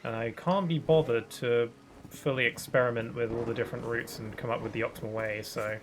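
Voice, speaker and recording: Man, streamer, sniffly, thinking aloud, excitable bursts, mid pitched voice. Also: fairly quick, noisy background. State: sniffly from hay fever. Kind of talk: casual gaming commentary.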